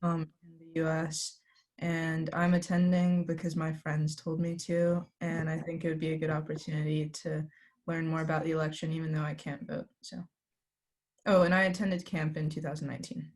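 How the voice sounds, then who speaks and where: A young woman from New Jersey introduces herself on a video call in a low, flat voice. She talks quickly and matter-of-factly. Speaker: participant.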